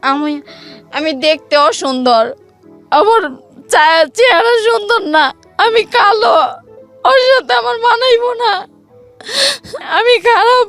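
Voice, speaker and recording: High-voiced Bangladeshi woman telling her life story. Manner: plain colloquial speech, reenacting quoted exchanges. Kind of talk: emotional personal storytelling.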